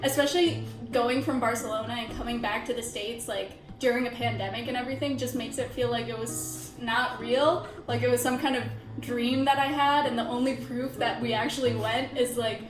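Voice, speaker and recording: A woman's voice: a little high, fairly even intonation, brisk, few pauses, reverberant, music in the background, poor audio. A woman in her twenties, an architecture student, speaking reflectively in a casual interview with many filler words. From India, raised in Chicago suburbs. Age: 20s.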